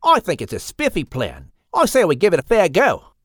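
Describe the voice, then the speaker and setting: A man's voice: sits high, wildly swooping pitch, normal speed, a bit bright, no room sound, studio quality, voice pushed up, heavily acted. A man voicing a big, upbeat male kangaroo with an Australian accent, talking in a hearty, slangy, enthusiastic way.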